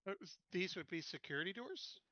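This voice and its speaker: Man, game player, slightly high voice, dry sound, halting, practical, casual planning. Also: expressive, bright, forceful tone, no echo, lo-fi sound.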